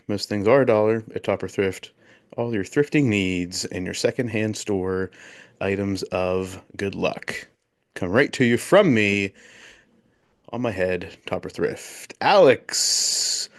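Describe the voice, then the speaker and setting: A man co-hosting a casual sports betting podcast, joking and reading off records and picks in quick bursts. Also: lowish voice. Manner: jokey, recaps bets in quick bursts. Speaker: podcast co-host.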